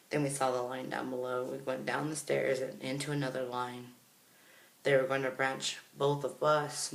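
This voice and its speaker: American guy chatting through a vlog story, kinda gravelly voice, echoey room, rambles a bit. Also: medium pitch, fairly bright tone. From Kansas, United States. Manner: chatty, rambling, self-correcting. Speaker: vlogger. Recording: roomy, echoey sound.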